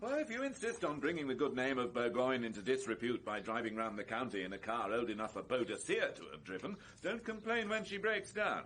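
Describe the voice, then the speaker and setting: An actor playing a bluff, genial middle-aged English chief constable with a blustery manner in a vintage radio drama. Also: male voice, mid-range voice, sing-song, a little fast, few pauses, a bit bright. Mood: genial, teasing. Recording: noisy vintage radio recording.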